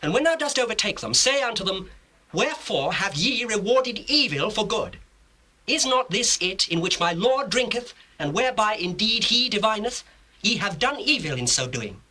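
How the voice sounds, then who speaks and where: An actor playing a lordly, commanding governor of Egypt in a dramatized scripture, declaiming formal archaic speech imperiously. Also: male voice, sits high, very animated, normal speed, sharp tone, full character voice. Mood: stern, imperious. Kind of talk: dramatized scripture, stage acting. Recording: noisy old cassette tape.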